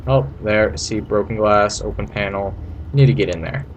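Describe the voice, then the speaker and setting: A man's voice: lowish voice, moderate pace. A man giving a casual, playful video game walkthrough in an informal, rambling way. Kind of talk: casual gameplay commentary. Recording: noisy amateur home recording.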